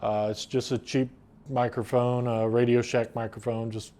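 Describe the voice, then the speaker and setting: A man's voice: medium pitch, level tone, normal speed, mellow. A middle-aged man with an American accent, casually explaining a do-it-yourself project in a plainspoken, unscripted way. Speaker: woodworking video host.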